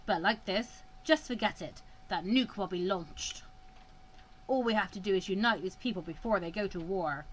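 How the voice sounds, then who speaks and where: A woman reads the part of a senior male mediator in a visual novel, cold and sure of himself. The delivery is measured and formal, like a lecture, at a middling pitch with faint noise behind it. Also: natural voice, rough recording. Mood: stern, resolute. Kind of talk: reading visual novel dialogue aloud.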